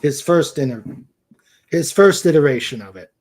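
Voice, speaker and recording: A man chatting casually as co-host of a livestream, halting and often searching for his words. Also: a little high, expressive, darkish tone.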